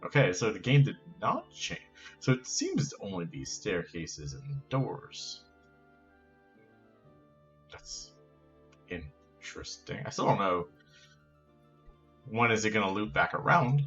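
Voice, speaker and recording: A man's voice: lowish voice, huge pitch swings, slow, pauses often, a bit bright, dull audio, faint music behind. A man commentating a video game, reading the dialogue aloud and joking as he plays. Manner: playful, reads characters in silly voices and jokes. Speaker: let's play host.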